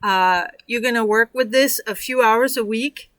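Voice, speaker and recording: A woman's voice: a little high, measured pace, fairly bright tone, no echo, clean studio sound. A woman from Denmark with a Danish accent, walking viewers through a tutorial in a chatty, encouraging way with lots of fillers. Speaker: online course instructor. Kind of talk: casual screen-share tutorial.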